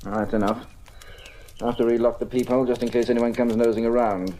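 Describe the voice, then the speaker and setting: Very deep-voiced criminal ringleader. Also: female voice, flat delivery, fast, dark tone. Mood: smug, briskly instructing. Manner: suave, articulate, condescending, menacing. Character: cool, scheming, commanding criminal ringleader plotting a theft. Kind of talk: old radio mystery drama acting. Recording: noisy old radio recording.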